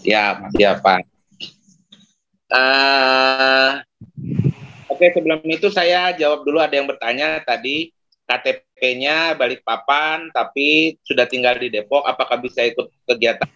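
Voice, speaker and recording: Bright-voiced man explaining on a call. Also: medium pitch, measured pace, rough recording. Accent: Indonesian. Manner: explanatory, many hesitations. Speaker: digital marketing facilitator. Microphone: video call.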